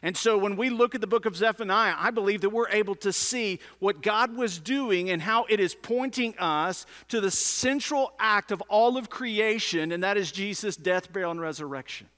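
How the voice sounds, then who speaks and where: A man's voice: sits high, moderate pace, hardly any pauses, sharp tone. A man preaching a teaching sermon as a pastor, in a conversational style with emphatic repetition and rhetorical questions. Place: large indoor room, preaching to a congregation. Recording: reverberant large room sound.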